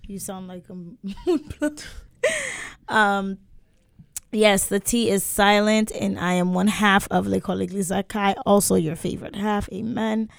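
A woman's voice: medium pitch, unhurried, gravelly, no room sound. Chatty young woman, dry close sound. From Haitian background. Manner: chatty and teasing, trails off mid-sentence. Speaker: podcast co-host. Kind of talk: casual chat.

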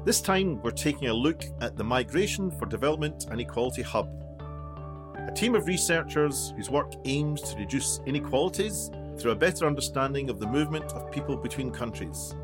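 A self-important male documentary presenter, played by an actor in a radio play. He narrates in a showy, pompous way and is easily baffled. Music plays clearly behind his mid-pitched voice. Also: expressive, brisk, close to an everyday voice.

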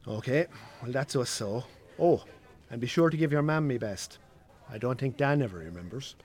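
A man's voice: medium pitch, expressive, normal speed, darkish tone, close to an everyday voice. An actor playing a breezy, sociable Irish man in a naturalistic radio drama, chatty and jovial. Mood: polite, breezy.

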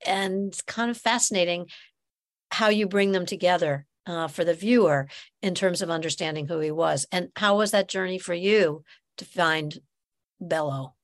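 A woman hosting a podcast, asking a guest thoughtful questions with frequent ums between her thoughts. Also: fairly low pitch. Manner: thoughtful, fills pauses with ums. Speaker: podcast host. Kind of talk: interview questions.

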